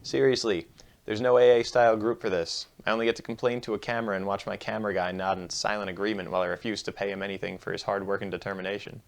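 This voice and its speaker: A man opens a comedy show with a scripted, deadpan monologue. His voice is gravelly and he mocks himself in a dry, steady way. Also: fairly low pitch, fast talker. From United States. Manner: deadpan, self-mocking jokes. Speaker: host.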